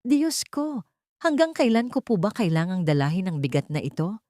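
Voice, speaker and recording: A woman's voice: mid-range voice, very animated, normal speed, warm tone, no room sound, clean studio sound, lightly acted. A woman voicing a destitute, wronged young woman in an audiobook drama, pleading in despair. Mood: anguished pleading. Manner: anguished, pleading.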